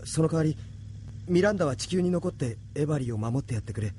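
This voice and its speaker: A man playing an earnest, resolute young space pilot in a Japanese anime audio drama, speaking gravely and sincerely. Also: fairly high pitch, expressive, quick pace, mellow, a little hiss or hum, lightly acted. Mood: solemn, tender. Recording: studio drama with background music.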